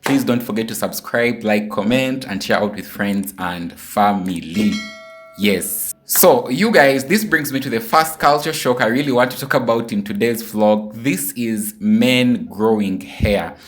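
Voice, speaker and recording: Ugandan guy in his 20s vlogging, lowish voice, chatty and talks right to the camera. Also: measured pace, soft background music. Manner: chatty, repeats place names, addresses viewers directly. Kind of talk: casual vlog to camera.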